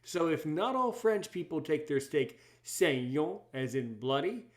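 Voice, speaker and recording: A man's voice: a little high, animated, a little slow. A man hosting a conversational podcast interview, speaking emphatically and often repeating his words and phrases for effect. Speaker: podcast host; English as a second language teacher.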